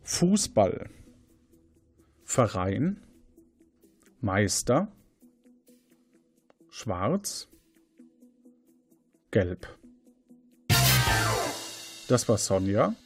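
A man's voice: lowish voice, very animated, extremely slow, long pauses, darkish tone, husky, soft background music. A man hosting an audio quiz show as a training officer, presenting in a semi-scripted, role-playing style.

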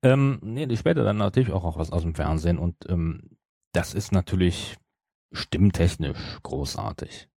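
A middle-aged man chatting casually as a podcast host, animated and feeling his way through his thoughts. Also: low voice, moderate pace, vocal fry, breathy edge, dry recording, clean studio sound. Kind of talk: casual chat.

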